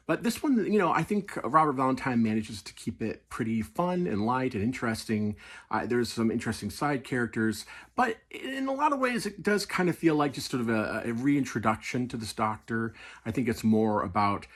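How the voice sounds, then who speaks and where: A man's voice: mid-range voice, animated, normal speed, fairly bright tone. A middle-aged man giving an enthusiastic, conversational, casual review.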